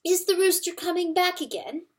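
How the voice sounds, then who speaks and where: Frightened little mice, as a woman voices them, asking anxiously in small, high voices. They plead over and over, close to panic, in storybook narration. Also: animated, moderate pace, a little mellow.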